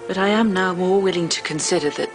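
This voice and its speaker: An actress playing a troubled, guarded mother and doctor, speaking haltingly in a naturalistic drama style. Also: lowish voice, over music, close to an everyday voice. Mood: strained, defensive. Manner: hesitant, halting. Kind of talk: naturalistic screen drama acting.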